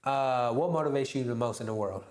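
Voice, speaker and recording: A man in his twenties hosting a casual podcast chat. He talks in an animated way, laughs often and keeps saying "bro.". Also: medium pitch, a little fast, reverberant. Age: 20s. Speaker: podcast host. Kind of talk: casual conversation.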